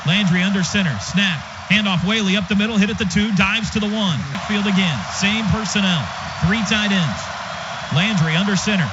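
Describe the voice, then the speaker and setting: An American man doing radio play-by-play of a football game in clipped present-tense phrases, excitable on big plays. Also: sits high, moderate pace. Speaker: play-by-play announcer. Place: stadium radio booth. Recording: dull, noisy stadium radio broadcast.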